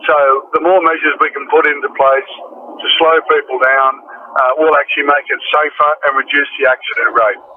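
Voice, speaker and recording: Distant, muffled man over clear noise. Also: fairly high pitch, steady tone, fairly quick. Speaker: motoring association spokesman. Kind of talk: interview soundbite. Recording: distant, very muffled, noisy background.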